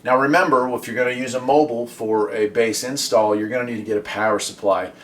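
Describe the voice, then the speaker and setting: A man's voice: mid-range voice, fairly even intonation, fast talker, a bit bright, slight background noise. A man hosting a hobby video, talking casually and explaining things in a conversational product review style, recorded in a garage. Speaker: host of a radio hobby video series. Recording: voice at a distance from the mic.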